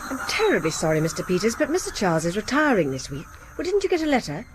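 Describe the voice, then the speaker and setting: An actress playing a polite, apologetic but firm British dental receptionist in a radio drama, with a prim manner. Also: female voice, mid-range voice, wildly swooping pitch, quick pace, noisy background. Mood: flustered, apologetic.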